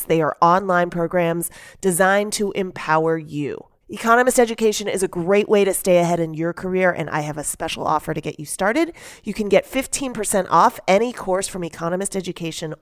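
A woman host reads a scripted sponsor spot in a smooth, clear voice. It sits slightly low in pitch and is recorded very dry. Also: no echo. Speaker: podcast host reading sponsor message. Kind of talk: scripted advertisement read.

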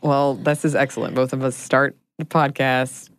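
A woman's voice: very deep voice, little pitch movement, normal speed, smooth. An American woman chatting casually as a podcast host. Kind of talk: casual co-hosted podcast chat.

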